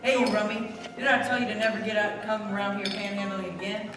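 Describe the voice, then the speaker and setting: A man acting a hostile, gruff bartender in a stage radio play, pitched high. He barks brusque orders and insults. The voice carries from afar through long reverb, with clear music behind. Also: flat delivery, fast talker, natural voice. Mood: angry, contemptuous. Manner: brusque, loud. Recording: echoey hall, distant mic, music behind.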